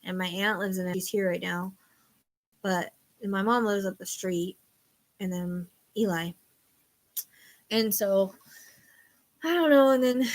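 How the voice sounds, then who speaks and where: Middle-aged woman, psychic show host, loud voice, rambling confessional chat. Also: medium pitch. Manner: rambling, confiding, trails off quietly. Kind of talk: casual chat.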